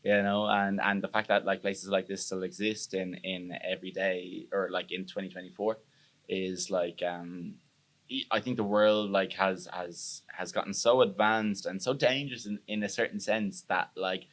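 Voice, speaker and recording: A young man with an Irish accent and a deep, low voice. He talks earnestly in a loose, rambling way and often restarts his sentences. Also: level tone, normal speed, a bit bright. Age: young adult. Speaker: podcast guest. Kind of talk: casual interview chat.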